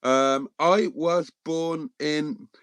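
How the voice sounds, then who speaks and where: A man's voice: fairly high pitch, steady tone, very slow, a bit bright, smooth, dry recording, clean studio sound. A middle-aged man from Wales whose first language is Welsh, chatting loosely on a livestream as host, with many ums and restarts. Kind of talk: casual livestream chat answering viewers.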